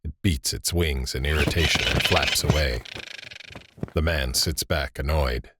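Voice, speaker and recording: A man narrating a scripted audio drama with deliberate, suspenseful storytelling. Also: extremely low pitch, noisy background.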